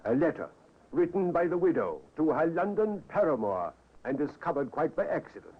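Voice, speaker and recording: A man acting a suspicious, insinuating Victorian gentleman in a 1950s radio drama, speaking pointedly. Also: on the high side, very animated, moderate pace, husky. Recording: thin, phone-like old radio recording.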